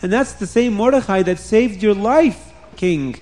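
Man as an earnest, deliberate royal guard. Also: high voice, expressive, a little mellow, voice pushed up. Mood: earnest, tattling. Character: earnest royal guard informing the king of a plot.

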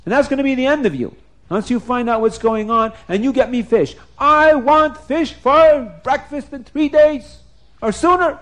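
A man voicing a demanding, hot-tempered storybook king with whiny, repetitive, shouted demands. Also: high-pitched, animated, moderate pace, voice pushed up. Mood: threatening.

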